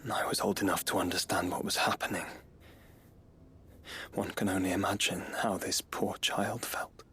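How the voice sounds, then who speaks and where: A man's voice: low voice, fairly even intonation, normal speed, sharp tone, slight background noise. A man playing a sombre, empathetic warrior in a video game, speaking reflectively. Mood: haunted, pitying. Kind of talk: video game cutscene acting.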